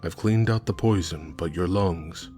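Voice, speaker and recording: An actor playing a gentle, grave big cat-man healer in an audio drama, speaking calmly and tersely in a husky rumble. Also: unhurried, faint music behind. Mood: calm, firm. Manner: calm, terse.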